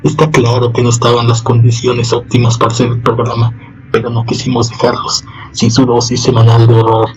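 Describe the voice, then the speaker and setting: A man hosting a horror radio show in a slow, solemn, ceremonious manner. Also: medium pitch, completely flat delivery, a little mellow, faint music behind. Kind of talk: scripted radio drama hosting. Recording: dull lo-fi sound.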